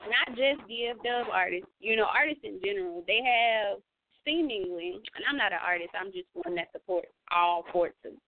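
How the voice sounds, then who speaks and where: A woman's voice: medium pitch, expressive, moderate pace, no room sound. A woman hosting a radio talk show, chatting casually in slang and thinking aloud with lots of fillers. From United States. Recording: tinny phone-line sound.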